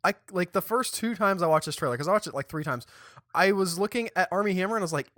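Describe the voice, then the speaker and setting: Podcast co-host, young man, bright tense tone, dry close mic. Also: high-pitched, quick pace, no echo. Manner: chatty, animated, restarts and stumbles mid-sentence. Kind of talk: casual chat.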